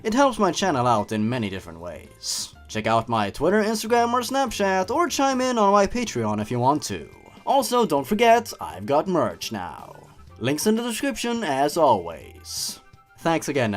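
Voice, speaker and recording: A man's voice: mid-range voice, huge pitch swings, measured pace. A man reading a scary story in a theatrical, mocking way with comic commentary, as the host of a show. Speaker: host and narrator of a creepypasta review show. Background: music.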